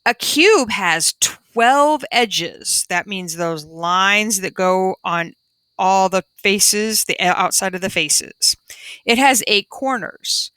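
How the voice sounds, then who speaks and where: A woman's voice: mid-range voice, expressive, takes it slowly, a bit bright, no echo. A woman teacher reading a children's book aloud and adding simple explanations for young pupils. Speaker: primary school teacher.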